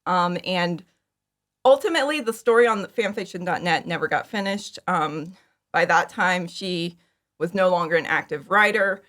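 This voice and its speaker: A woman's voice: on the low side, normal speed, fairly bright tone. A woman chatting casually as an interview guest about her own creative work. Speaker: interview guest, fan audio drama creator. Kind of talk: casual chat, telling how a project came about.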